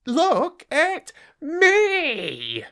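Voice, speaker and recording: A man's voice: very high-pitched, huge pitch swings, extremely slow, sharp tone, voice pushed up, heavily acted. A man voicing a vain, indignant little rat who demands attention in a storybook fable. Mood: boastful, indignant. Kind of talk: animal fable storybook read aloud.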